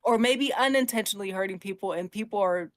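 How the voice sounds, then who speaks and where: A woman co-hosting a podcast, chatting casually and giving her opinions in an animated, emphatic way. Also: mid-range voice, fast. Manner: animated, emphatic, mimics others in quoted asides. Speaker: podcast co-host.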